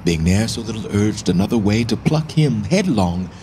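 A man's voice: lowish voice, lively intonation, normal speed, mellow, slight background noise, lightly acted. A man acting a deposed, grieving, bitter medieval king in a Shakespearean radio drama, speaking formal, poetic verse theatrically. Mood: bitter, accusing.

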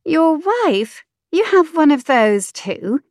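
A woman voicing an impudent young mistress in an audiobook, with a high, airy, pert voice. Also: sing-song, takes it slowly, a little mellow, no room sound, clean studio sound, exaggerated voice. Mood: curious. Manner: pert, teasing.

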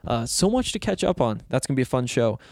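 Young male podcast host, slightly raspy, meandering casual chat, dead-dry close mic. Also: medium pitch, very animated, quick pace, darkish tone, dry recording. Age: young adult. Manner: loose, self-deprecating, meandering with fillers. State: hoarse from yelling.

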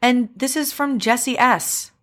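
A woman guest on a chat show, talking in an expressive way and laughing often. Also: a little high, measured pace. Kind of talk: casual chat.

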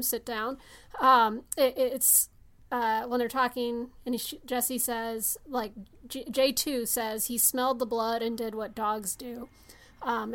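Lady on a movie podcast, highish voice, casual, emphatic, kinda meandering. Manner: emphatic, mimics imagined remarks, meandering. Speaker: podcast co-host. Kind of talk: casual film discussion.

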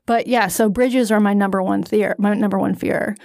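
A woman in her thirties talks loosely on her podcast. She is candid and self-deprecating and wanders into asides. She sounds close and dry on the mic, at a middle pitch. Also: steady tone, moderate pace, a little mellow, dry recording. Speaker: podcast co-host. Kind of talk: casual chat.

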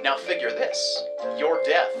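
A woman's voice: low voice, takes it slowly. A woman acting a sinister, mock-polite captor addressing prisoners from a screen in an audio drama. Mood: mock-friendly, sinister. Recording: loud guitar music underneath.

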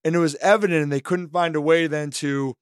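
A man with a fairly high, slightly hoarse voice talks through baseball analysis in animated bursts. The sound is dry and close, with no room around it. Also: a little fast, no echo. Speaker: co-host. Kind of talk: sports podcast analysis.

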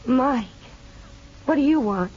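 An earnest, anxious young wife in an old radio melodrama. Her voice sits fairly high, hesitant and pleading. The 1950s recording is dull with clear background noise. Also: expressive, slow pace, a little mellow. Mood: frightened, defiant. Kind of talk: 1950s radio drama acting.

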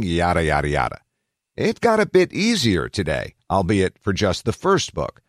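Low-voiced man with swooping, lively intonation. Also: no echo, studio quality. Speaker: news podcast narrator reading an article. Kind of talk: scripted narration of a tech news article.

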